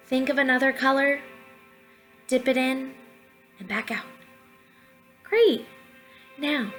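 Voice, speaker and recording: A young adult woman with a fairly high voice leads children through a guided drama exercise. She explains each step encouragingly, as a teacher would, speaking into a webcam at home. Soft music sits behind her. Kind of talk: instructional talk and guided pantomime narration for children. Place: living room at home, indoors. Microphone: webcam or laptop at arm's length. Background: soft quiet music.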